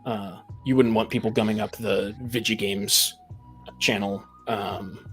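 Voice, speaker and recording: A man's voice: on the low side, little pitch movement, measured pace, fairly bright tone, soft background music, low-quality recording. A talkative man in a casual group chat, stammering and repeating the starts of his phrases. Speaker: tabletop game master, podcast guest.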